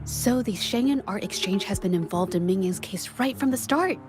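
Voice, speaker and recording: A woman playing a thoughtful, composed investigator in a video game, reasoning in a deliberate, analytical way. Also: medium pitch, lively intonation, close to an everyday voice. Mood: realizing. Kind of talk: video game character dialogue. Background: music.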